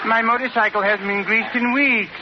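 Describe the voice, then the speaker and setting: An actor playing a timid, slow-witted small-town postman, hesitant and literal, in old radio sitcom style. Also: high-pitched, fairly even intonation, natural voice. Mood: naive, hesitant. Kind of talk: 1940s-50s radio sitcom acting. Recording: noisy, dull old radio recording.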